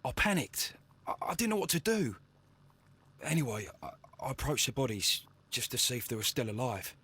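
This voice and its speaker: A man's voice: medium pitch, sing-song, moderate pace, bright, forceful tone, breathy edge, full character voice. A man playing an anxious young working-class Victorian with a London accent in a video game, earnest and stammering as he speaks. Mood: shaken, earnest. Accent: English, London. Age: young adult.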